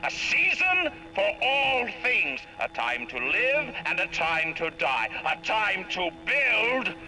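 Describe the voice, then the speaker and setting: A man's voice: high-pitched, sing-song, sharp tone, soft background music, full character voice, poor audio. A man playing a grandiose, embittered city tyrant in a video game, speaking like a theatrical orator. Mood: defiant, booming. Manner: oratorical, theatrical, deliberate phrasing.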